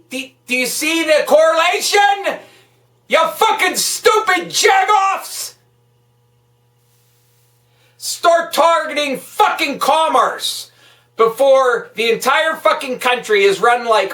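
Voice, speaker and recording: In a roomy, echoing space a little away from the microphone, a woman rants about politics. Her voice is sharp and forceful, and she speaks slowly for emphasis. She is hectoring and swears freely. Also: high-pitched, expressive. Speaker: political vlogger. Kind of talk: angry political rant. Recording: echoey room, distant microphone.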